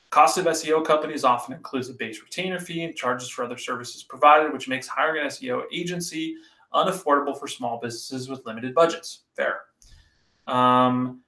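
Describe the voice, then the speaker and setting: A man's voice: medium pitch, a bit bright. Rambling man in an echoey room. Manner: rambling and conversational, many fillers. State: slightly raspy voice. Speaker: podcast host. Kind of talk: casual solo commentary.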